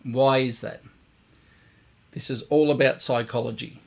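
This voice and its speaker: A man, a brass teacher giving blunt, emphatic instruction to camera, his voice croaky and tired today. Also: medium pitch, fairly even intonation, unhurried. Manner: emphatic, blunt, repeats points for stress. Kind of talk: direct instructional talk to camera.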